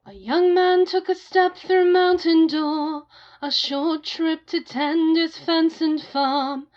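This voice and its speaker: Woman, high pitch, extremely slow, solemn lyrical lament, dull muffled recording, soft music. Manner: solemn, mournful. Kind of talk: slow lyrical verse performance.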